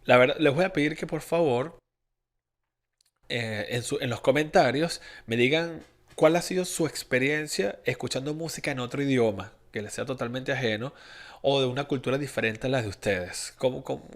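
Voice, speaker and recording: A man's voice: medium pitch, moderate pace. A young Venezuelan man with a Venezuelan Spanish accent hosting a podcast, talking in a rambling way with many restarts and fillers. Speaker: podcast host. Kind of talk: casual solo podcast monologue.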